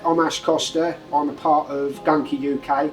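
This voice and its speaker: A man's voice: on the high side, flat delivery, normal speed. A man from Lincolnshire answering interview questions, somewhat hesitant, with fillers. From Lincolnshire, England. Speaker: angler competitor. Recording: faint music behind.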